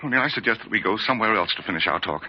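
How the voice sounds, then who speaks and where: An actor playing an earnest, courteous gentleman with emphatic delivery in an old-time radio mystery. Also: on the low side, lively intonation, very fast, slightly rough. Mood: impressed, uneasy. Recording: dull, muffled old radio recording.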